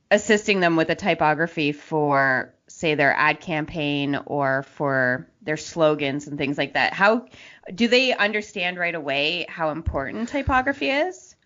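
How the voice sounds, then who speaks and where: A woman with a deep-set voice interviews a guest as podcast host. She speaks casually and conversationally, posing questions. The sound is somewhat dull and lo-fi. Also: a bit bright.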